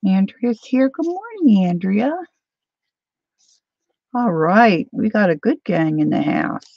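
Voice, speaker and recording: A woman hosting a casual crafting live stream, chatting softly and unhurriedly with viewers while she cuts paper at her craft table. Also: mid-range voice, very animated, takes it slowly, vocal fry, no room sound, highs cut off. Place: indoor craft table, overhead camera.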